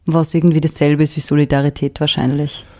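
A woman's voice: low voice, little pitch movement, quick pace, a little mellow. A woman with an Austrian accent, a singer-songwriter talking casually and reflectively about creative work.

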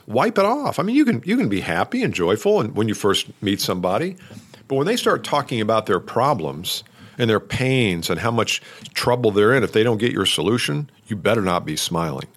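A middle-aged man hosting a solo podcast, talking loudly and emphatically in a coaching tone. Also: fairly low pitch. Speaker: podcast host.

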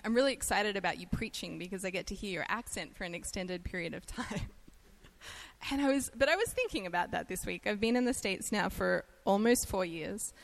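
An Australian woman delivering a sermon in a relaxed, conversational way. Her voice sits at an ordinary middle pitch, and she laughs easily at herself between points. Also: gravelly. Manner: chatty and self-deprecating, laughs easily. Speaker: guest preacher.

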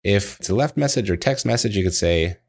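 Man, deep low voice, scripted lesson narration, measured explanatory delivery, practice trainer. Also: animated.

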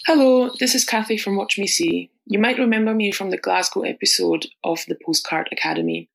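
Brief: woman, heavy gravelly fry, steady read-aloud contributor message. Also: medium pitch, brisk, poor audio. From Austria. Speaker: podcast contributor. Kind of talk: scripted voice message.

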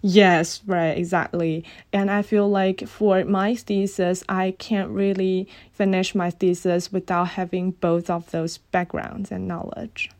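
Young woman, Chinese accent, native Cantonese, podcast guest; thoughtful delivery, mid-pitched, pauses on fillers. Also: little pitch movement, a little mellow. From Guangdong, China. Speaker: graduate student guest. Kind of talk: podcast interview.

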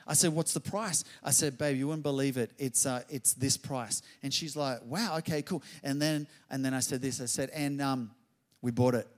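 A middle-aged man preaching a sermon, telling a story and building to loud exclamations. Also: medium pitch, steady tone, normal speed. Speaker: pastor.

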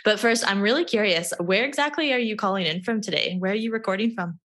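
A woman's voice: mid-range voice, fast, fairly bright tone. A woman hosting a podcast, reading a scripted intro and then interviewing a guest with an upbeat, enthusiastic manner. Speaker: podcast host.

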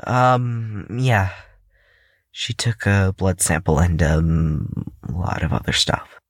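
A man's voice: low-pitched, expressive, no room sound, studio quality, heavily acted. A man playing a timid, awkward, apologetic patient in an audio drama, speaking hesitantly. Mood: awkward, reluctant. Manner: hesitant, halting.

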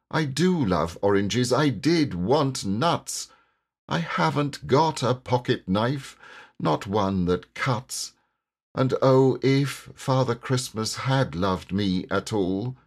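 A man voicing a lonely, hopeful, petulant storybook king with a plaintive, emphatic, childlike way of wishing. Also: medium pitch. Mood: grim, disappointed.

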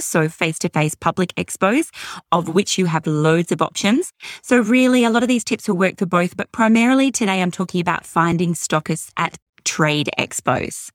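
A middle-aged woman hosting a podcast, upbeat, affectionate and a bit salesy, with a voice gone husky from overuse. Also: on the low side, dry recording, clean studio sound. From Australia. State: hoarse from overuse. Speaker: podcast host. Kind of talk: podcast monologue.

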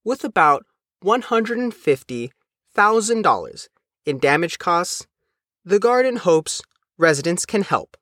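A man's voice: high voice, a bit bright, dry recording, clean studio sound. A man reading a scripted news report as a reporter.

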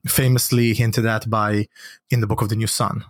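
A man's voice: on the low side, little pitch movement, normal speed, dry recording, clean studio sound. A man who is a native Hebrew speaker talks through ideas in a discursive, conversational way, often checking in with a questioning tag. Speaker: podcast guest, essayist.

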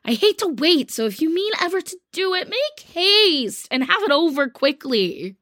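A woman's voice: extremely high pitch, very animated, moderate pace, fairly bright tone, no room sound, clean studio sound, voice pushed up, exaggerated voice. A woman voicing a blunt, impatient, tomboyish teenage girl who speaks scornfully.

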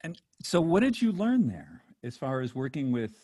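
A man interviewing a guest in a casual, joking way, loud and quick to laugh. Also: mid-range voice, very animated, breathy edge, dry recording, clean studio sound. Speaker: interviewer host.

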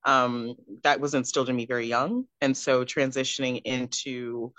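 A young adult woman from Texas, a guest recounting her upbringing in a relaxed interview, reflective and given to small laughs. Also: very deep voice, moderate pace, no echo. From Texas, USA. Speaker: guest, pro wrestler. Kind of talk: casual interview chat. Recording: dull lo-fi dry sound.

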